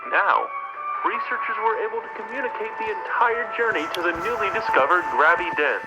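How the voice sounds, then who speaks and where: Formal male narrator over loud music. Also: sits high, a little fast, poor audio. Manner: formal, clinical delivery. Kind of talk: scripted mock research documentary narration for analog horror.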